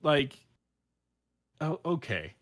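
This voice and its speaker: Slow, halting man. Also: fairly high pitch, very animated, fairly bright tone. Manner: halting, repeats words, emphatic, informal. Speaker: podcast panelist. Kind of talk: casual podcast discussion.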